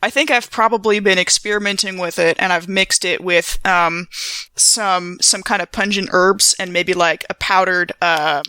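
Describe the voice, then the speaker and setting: Bright, clear-voiced woman playing a tabletop game. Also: on the low side, fairly even intonation, normal speed, no echo. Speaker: player in actual-play podcast. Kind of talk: casual improvised game chat.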